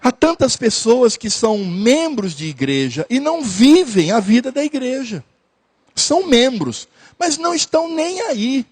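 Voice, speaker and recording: A man's voice: extremely high pitch, sing-song, a little slow, breathy edge. A man preaching a sermon as pastor, repeating phrases earnestly and hesitating at times.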